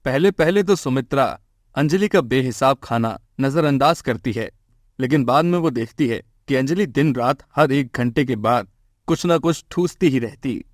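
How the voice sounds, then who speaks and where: A man's voice: mid-range voice, expressive, moderate pace, no echo. Male storyteller, dry studio sound. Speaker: narrator. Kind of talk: scripted moral-story narration.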